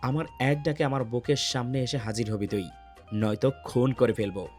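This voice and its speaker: A man's voice: medium pitch, steady tone, normal speed, a little mellow, faint music behind, natural voice. A man playing an intense, hot-tempered, possessive young lover in an audio love story, with a commanding, menacing delivery. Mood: angry, menacing.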